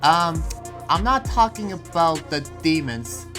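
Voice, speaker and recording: A man playing an earnest, protective young town heir in an improvised anime game roleplay, talking in a halting, conversational way. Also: fairly high pitch, animated. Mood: challenging, defensive. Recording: background music under the voice.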